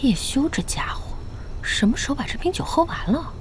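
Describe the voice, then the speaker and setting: A woman's voice: sits high, sing-song, a little fast, whispered, low-quality recording. An actress playing a spirited, chatty, blunt young woman who runs a shop. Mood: grumbling. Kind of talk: Chinese audio drama acting.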